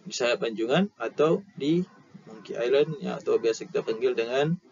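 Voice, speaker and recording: A young man with an Indonesian accent giving an informal slide lesson as an instructor, explaining haltingly and repeating key terms into a headset. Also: medium pitch, darkish tone. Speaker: maritime safety instructor. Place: indoor room. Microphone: headset at a computer. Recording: dull lo-fi audio with clear background noise.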